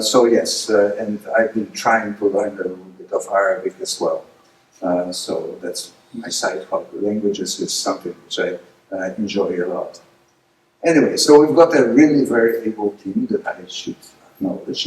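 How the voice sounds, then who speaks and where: Man, Polish accent, middle-aged, hesitant lecturer, lots of pauses, echoey hall, distant mic, faint hiss. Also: fairly low pitch. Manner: hesitant, many filler pauses, lively emphasis on key points. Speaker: guest speaker. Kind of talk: lecture presentation. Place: lecture room.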